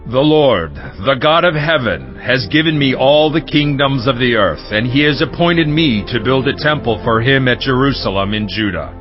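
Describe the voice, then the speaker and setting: A man's voice: medium pitch, lively intonation, moderate pace, hardly any pauses, lightly acted. Man acting a regal Persian king proclaiming. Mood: solemn, regal. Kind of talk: dramatized audiobook acting. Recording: muffled, music behind.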